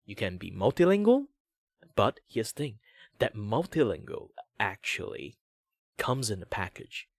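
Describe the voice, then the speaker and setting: A young man with a Malaysian English accent chats to his stream as he draws. His voice sits fairly low, and he rambles cheerfully with plenty of fillers and restarts. The sound is close and dry, with no room around it. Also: very animated, measured pace, dry recording. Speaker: streamer host. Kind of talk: casual chat while drawing.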